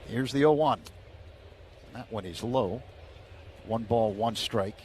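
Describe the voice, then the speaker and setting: A man calling baseball play-by-play on the radio in a steady, measured way. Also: on the low side, a little hiss or hum.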